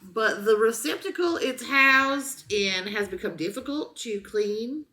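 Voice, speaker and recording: A woman with a Southern US accent co-hosting a comedy show, chatting casually and reading product reviews aloud in a deliberate, mock-earnest way. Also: medium pitch, bright, forceful tone.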